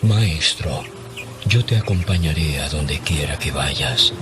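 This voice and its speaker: A man plays a devoted male scholar of religious law in an audio Bible drama. The voice is exceptionally deep and unhurried, with music behind it. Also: animated, full character voice. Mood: devoted, solemn.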